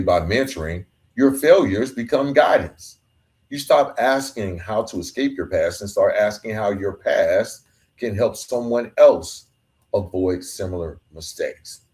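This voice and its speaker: Male podcast host, low voice, emphatic repeated-phrase motivational delivery. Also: darkish tone. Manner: emphatic, repetitive preaching cadence. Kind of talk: motivational monologue.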